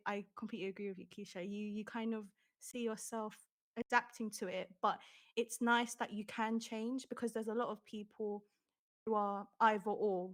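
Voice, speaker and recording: Podcast co-host, woman, middle-pitched, earnest, unhurried. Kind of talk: casual panel chat.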